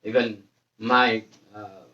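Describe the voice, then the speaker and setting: Man interviewing in halting English. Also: on the low side, level tone, sharp tone, dull audio. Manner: halting, broken phrasing. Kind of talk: casual interview questions. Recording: echoey room, distant mic.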